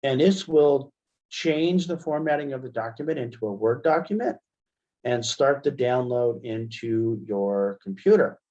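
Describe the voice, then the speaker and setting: A middle-aged American man giving a casual, step-by-step software demonstration as a webinar trainer, speaking into a headset. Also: medium pitch, expressive, a little slow, muffled recording. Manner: explanatory, step-by-step. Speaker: assistive technology trainer.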